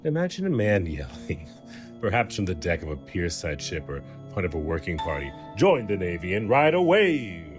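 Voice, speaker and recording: Man, very low pitch, scripted narration, formal expository delivery, prominent instrumental music backing. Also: big pitch swings, normal speed. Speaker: co-reader of a poem.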